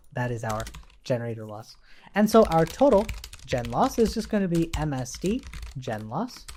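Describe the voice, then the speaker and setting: Animated coder talking through a tutorial. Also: medium pitch, slight background noise. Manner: informal, thinking aloud while working, tags statements with "right?". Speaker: instructor, coding tutorial presenter. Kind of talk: casual live-coding tutorial narration.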